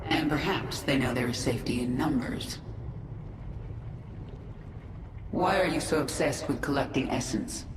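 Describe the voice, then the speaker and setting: An actress playing a cool, ruthless alien swarm queen in a video game, measured and authoritative. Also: extremely low pitch, background noise. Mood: cool, probing. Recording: echoing, with music behind.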